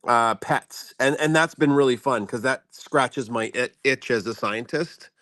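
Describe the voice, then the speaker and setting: A man speaking as a guest in a casual chat, explaining things in a halting, self-effacing way. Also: mid-range voice, sharp tone, no room sound, studio quality. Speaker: guest speaker, science teacher and content creator.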